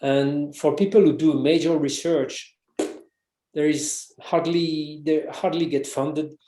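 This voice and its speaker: A man's voice: fairly high pitch, fairly even intonation, takes it slowly, reverberant, noisy background. A man from France speaking English with a French accent as an interview guest, hesitant, with many restarts. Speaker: guest, researcher and author. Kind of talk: casual interview conversation.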